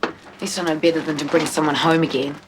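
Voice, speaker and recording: A woman's voice: sits low, little pitch movement, fast talker, noisy background, natural voice. An actress playing a headstrong young woman from the New countryside, in a soap opera. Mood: defiant. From rural New Zealand. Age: young adult. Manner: defiant, defensive. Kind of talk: 1990s TV soap acting.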